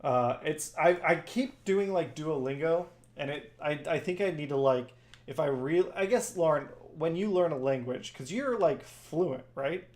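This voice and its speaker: A man's voice: on the high side, animated, unhurried, a little mellow. A man whose first language is Farsi, hosting a game stream and chatting casually with viewers by name. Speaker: streamer and host. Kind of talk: casual chat while gaming.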